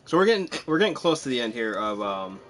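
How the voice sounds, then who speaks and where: A man's voice: medium pitch, sing-song, quick pace, a bit bright, soft background music. A man casually commentating on his live game stream, chatty and self-deprecating, thinking aloud as he plays.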